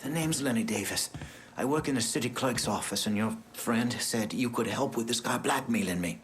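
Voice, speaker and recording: A man's voice: mid-range voice, moderate pace, a little hiss or hum, lightly acted. An actor playing an anxious, guilty male city clerk in a video game, hesitant and pleading. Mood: nervous.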